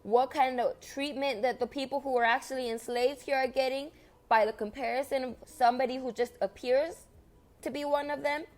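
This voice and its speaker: A woman's voice: high-pitched, steady tone, fairly quick, faint music behind. Earnest woman, Spanish first language. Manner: earnest, repetitive, emphatic. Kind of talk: impassioned personal monologue.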